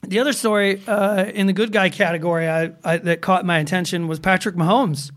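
A man with a high-pitched voice co-hosts a casual talk show. He recaps news stories in a loose, meandering way, full of fillers and restarts. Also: steady tone, brisk, fairly bright tone. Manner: rambling with many fillers and restarts. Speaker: podcast co-host. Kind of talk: casual chat.

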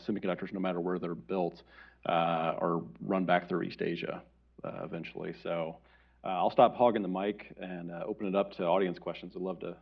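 Panel moderator, man, deep gravelly flat voice, halting, dull lo-fi sound. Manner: hesitant, many fillers. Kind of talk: panel discussion. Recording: dull lo-fi audio.

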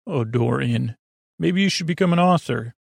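A man hosting a bedtime podcast, drifting through meandering, digressive talk in a soft, unhurried, self-deprecating way. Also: medium pitch, expressive, creaky, slightly rough, no echo, clean studio sound. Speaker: podcast host.

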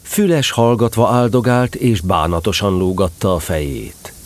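A man narrating a children's story as a scripted, expressive storyteller. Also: lowish voice, no room sound.

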